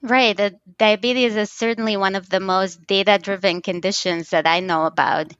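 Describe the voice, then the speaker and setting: A woman in her thirties from Romania, a guest on an interview, speaking in a measured way with frequent ums. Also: mid-range voice, steady tone, fairly bright tone, smooth, no echo, lo-fi sound. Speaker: data scientist guest. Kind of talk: conversational interview.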